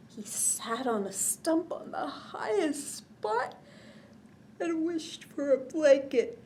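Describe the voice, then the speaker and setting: High, animated woman reading a bedtime story. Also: slight background noise. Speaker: children's storytime reader. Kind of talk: expressive picture-book read-aloud for young children.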